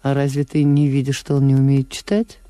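A woman's voice: a bass voice, completely flat delivery, brisk. An actress playing an anxious, resigned diplomat's lady and lover in a Soviet radio drama. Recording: clearly noisy old radio production.

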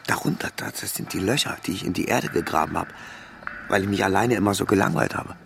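An actor playing a lonely, melancholy junior soldier in an audio drama, speaking in a hesitant, meek and halting way. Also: male voice, sits low, animated, gravelly, background noise. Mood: wistful.

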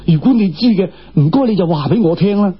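A male storyteller plays an earnest young Cantonese Taoist disciple from a folk tale. The voice is very raspy and a bit high, humble and formal in its pleading. The audiobook sound is slightly dull. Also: lively intonation, fast, exaggerated voice.

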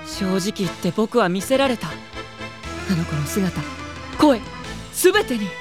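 A man's voice: high-pitched, very animated, unhurried, music in the background. A man playing a proud, hot-headed young idol singer in an audio drama, earnest and theatrical, also narrating his own story. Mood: reflective narration. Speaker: lead character and narrator. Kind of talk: anime audio drama acting with first-person narration.

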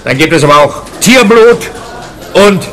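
Klagender Polizist mit scharfer Stimme. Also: male voice, sits high, lively intonation, moderate pace, fairly bright tone. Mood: grave, factual. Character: world-weary, lamenting male police detective. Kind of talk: radio play dialogue.

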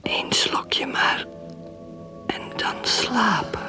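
An actress playing a bitter, wistful married woman who secretly craves drink, in an intimate, halting radio drama monologue. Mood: furtive craving. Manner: whispered, breathy, halting. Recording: music under the voice.

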